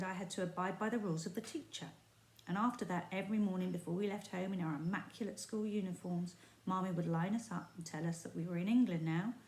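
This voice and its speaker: A woman teacher reading a chapter of a book aloud in a steady, expressive storytelling voice. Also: lowish voice. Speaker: primary school teacher. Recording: roomy echo.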